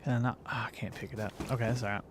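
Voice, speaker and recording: A man's voice: medium pitch, steady tone, very fast. Folksy man chatting over a noisy recording. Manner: rambling, folksy, informal phrasing. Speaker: livestreamer. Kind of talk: casual gaming commentary and chat.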